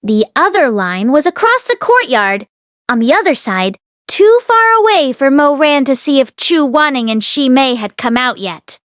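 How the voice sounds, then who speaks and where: High voiced woman reading a story out loud, narrator style, really dry close sound no echo. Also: big pitch swings, normal speed. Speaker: audiobook narrator and read-along host.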